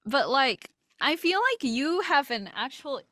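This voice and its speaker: A woman's voice: sits high, lively intonation, unhurried, bright, forceful tone, dry recording, clean studio sound. A woman speaking casually as an interview guest, candid and self-deprecating, with some loose swearing. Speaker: interview guest, voice actor and illustrator.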